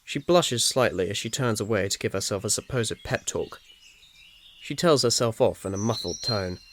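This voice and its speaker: A man's voice: fairly low pitch, animated, normal speed. A man reading a scripted second-person ASMR story as its narrator. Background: birdsong, crickets and faint noise.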